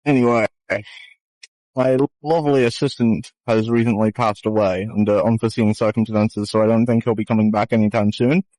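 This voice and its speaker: A man's voice: on the low side, steady tone, a little fast, mellow, no room sound, low-quality recording. A man improvising a comedy puppet show in mock showman patter, rambling and swearing crudely. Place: online VR chat session.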